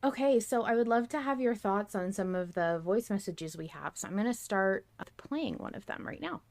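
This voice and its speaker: A woman hosts a podcast in a casual style. Her voice sits at a middle pitch for a woman. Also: expressive, moderate pace, a little mellow. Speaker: podcast host.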